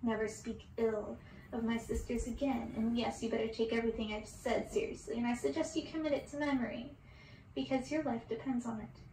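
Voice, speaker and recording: Storybook enchantress, acted by a female reader: cold, solemn, menacing, high-pitched, slightly distant. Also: steady tone, brisk, dark tone, close to an everyday voice. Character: stern, cold, angry enchantress laying a curse. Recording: distant roomy mic with background hiss.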